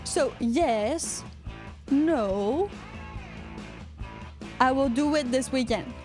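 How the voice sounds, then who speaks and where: A woman's voice: sits high, lively intonation, drawn-out words, music in the background. A woman from Quebec with a Quebecois accent, co-hosting a casual live podcast, rambling and joking as she reads out chat comments. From Quebec, Canada. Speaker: podcast co-host.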